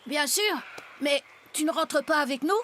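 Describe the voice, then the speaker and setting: Squeaky, anxious little boy. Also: normal speed, fairly bright tone, slight background noise. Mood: eager. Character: small, anxious, eager young boy sidekick. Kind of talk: French animated series dubbing. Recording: reverberant hall sound, music behind.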